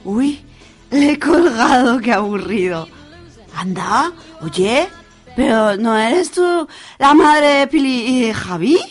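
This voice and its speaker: A woman's voice: on the high side, animated, slow pace. A woman playing a flippant young woman out partying in an amateur radio soap, breezy and dismissive, dodging a suitor. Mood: bored, amused. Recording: music behind the voice.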